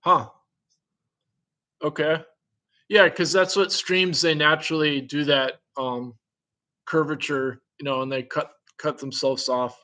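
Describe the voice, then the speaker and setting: A man's voice: fairly high pitch, fairly even intonation, a little slow, long pauses, bright, forceful tone. A man in casual conversation who thinks aloud, asks curious questions and chuckles between remarks. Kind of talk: casual chat.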